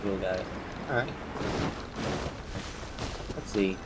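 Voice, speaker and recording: A man giving casual livestream game commentary, halting and muttering his reactions to himself as he plays. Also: sits low, very noisy. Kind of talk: casual game commentary, reading dialogue aloud. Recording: game music behind the voice. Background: video game music.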